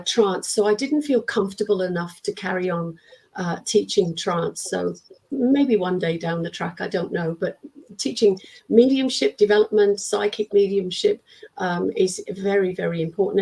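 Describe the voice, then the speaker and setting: A middle-aged woman from the UK, a spiritualist medium and teacher, chatting in an interview with a croaky, worn-out voice and acting out little quoted exchanges as she tells her stories. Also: mid-range voice, low-quality recording. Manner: warm storyteller who acts out quotes of students. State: strained, worn-out throat. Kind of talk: casual interview chat.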